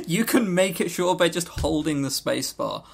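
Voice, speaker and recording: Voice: mid-range voice, expressive, a little slow, creaky, slightly rough. Podcast co-host for loose banter: sarcastic, jokey, happy to ramble off on tangents. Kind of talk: casual, tangent-prone banter about visual novels.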